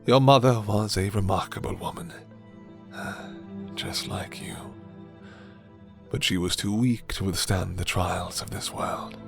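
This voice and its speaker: A man voicing a suave, menacing, condescending vampire lord in an ASMR roleplay, courtly and theatrical, with deliberate delivery. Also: sits low, vocal fry. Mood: brooding, sorrowful. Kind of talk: ASMR roleplay character acting. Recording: music behind the voice.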